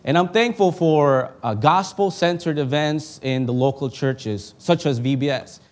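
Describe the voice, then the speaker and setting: A young man from the Philippines preaching with earnest conviction, his voice sitting a touch higher than most men's. He reads scripture aloud and prays, lifting his voice for emphasis and dropping it low and soft when he prays. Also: a little slow. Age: young adult. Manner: earnest, heartfelt delivery that rises for emphasis and drops soft in prayer. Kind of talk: sermon with scripture reading and prayer.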